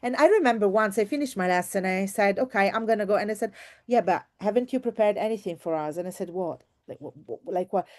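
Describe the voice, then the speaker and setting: A woman's voice: medium pitch, expressive, fast talker. A woman teacher giving an informal online workshop, speaking expressively and effusively and repeating words for emphasis. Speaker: teacher and trainer presenting.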